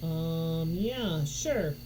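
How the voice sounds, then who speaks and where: A woman's voice: sits low, animated, drawn-out words, mellow, exaggerated voice. A woman voicing an anxious, cautious young game player in a storybook reading, urgent and often exasperated. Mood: hesitant. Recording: noticeable background noise.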